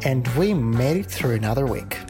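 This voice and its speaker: A man's voice: mid-range voice, animated, normal speed, over music. A man in his early twenties co-hosting a casual podcast with a friend, often laughing and complimenting her. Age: 20s. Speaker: podcast co-host. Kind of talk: casual chat.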